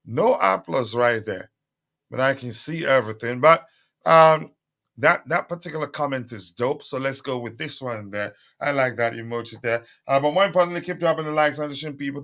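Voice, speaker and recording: A man's voice: medium pitch, sharp tone. A man hosting a casual live stream, chatting with viewers and reading their comments, with lots of fillers and his voice a little strained. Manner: chatty, many fillers, repeats calls for likes. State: voice strained, recovering. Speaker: livestream host.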